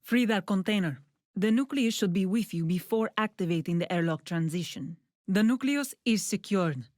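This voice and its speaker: A woman playing a composed female scientist who guides a mission in a video game, giving measured, businesslike instructions. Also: medium pitch, expressive, a little mellow, no room sound, studio quality, close to an everyday voice. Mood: focused, instructive. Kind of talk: scripted video game voice lines. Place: dry studio.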